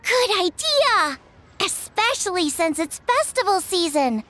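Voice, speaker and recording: Very high-voiced, caring young game girl. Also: sing-song, unhurried, pushed and bright, exaggerated voice. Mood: upbeat, inviting. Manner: kind, upbeat, reassuring. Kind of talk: anime game voice acting. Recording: music behind the voice.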